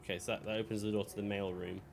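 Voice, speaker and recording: A man's voice: lowish voice, flat delivery, rapid-fire, vocal fry, noisy background. Man casually chatting while gaming. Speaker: game livestreamer. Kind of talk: casual chat while playing a video game.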